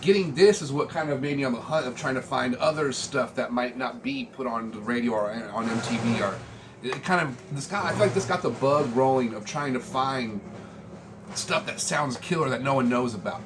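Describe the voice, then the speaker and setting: American guy in his 40s just rambling, slangy laid back vlog talk, medium pitch, pretty noisy recording. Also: moderate pace. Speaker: vlogger showing a music collection. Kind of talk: casual rambling chat. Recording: clearly noisy room ambience.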